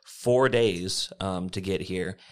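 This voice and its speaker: Tabletop player, man with a fairly low voice: wry, stop-start, conversational improvisation. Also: slow pace. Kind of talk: casual improvised role-play.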